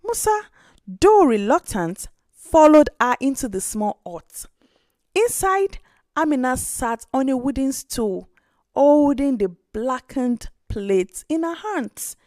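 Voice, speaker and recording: Slow, animated woman storyteller. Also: high-pitched, no echo, studio quality. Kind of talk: expressive scripted folktale narration.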